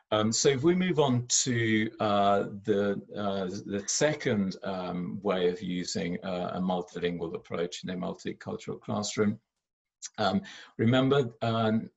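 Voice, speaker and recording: A former head teacher from Argentina, Spanish his first language, speaks in a very low, gravelly voice with a husky edge. He talks his way through a school presentation in halting English, full of ums and restarts. The sound is muffled and lo-fi. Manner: hesitant, many fillers and restarts.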